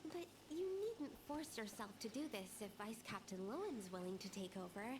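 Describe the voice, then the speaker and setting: A woman's voice: mid-range voice, very animated, moderate pace, lightly acted. A woman voicing an earnest young alchemist with a precise, polite manner. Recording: streamed game audio with noise.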